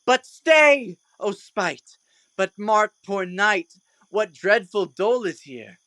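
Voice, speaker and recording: A woman's voice: mid-range voice, lively intonation, takes it slowly, a bit bright, no echo. A woman playing a hammy amateur player as a doomed, grandly lamenting lover in a Shakespearean audio play, declaiming melodramatically. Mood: anguished.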